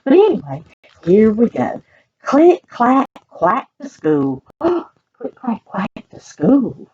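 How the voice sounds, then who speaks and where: A woman with a Southern US accent reading picture books aloud to children in an animated, expressive way, adding chatty asides. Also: on the high side, slow pace, slightly rough, dull audio. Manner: animated, expressive, talks directly to young listeners.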